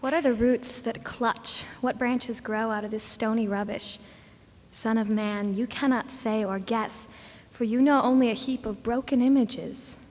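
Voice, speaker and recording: A woman giving an intense, ominous dramatic poetry recitation, breathy and close to a whisper. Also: a little high, fairly even intonation, measured pace, darkish tone. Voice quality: breathy, slipping towards whisper. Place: large indoor hall. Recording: reverberant hall.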